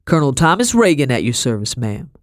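A woman voicing a weary male colonel of colonial times, stiff and measured, in a storybook reading. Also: very deep voice, big pitch swings, no room sound, clean studio sound. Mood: weary, curt.

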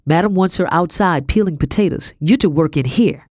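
A woman voicing a bossy, fast-talking middle-aged housekeeper with rustic grammar in an audiobook. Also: on the low side, a little mellow, no echo, clean studio sound, natural voice. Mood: brusque. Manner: brisk, scolding, rustic grammar. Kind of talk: audiobook character voice.

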